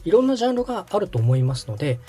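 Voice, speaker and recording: A man's voice: high voice, big pitch swings, rapid-fire, dark tone, slightly rough, soft background music. A man hosting a step-by-step tutorial, explaining things politely and guiding the listener along. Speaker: podcast host and tutorial instructor, radio director.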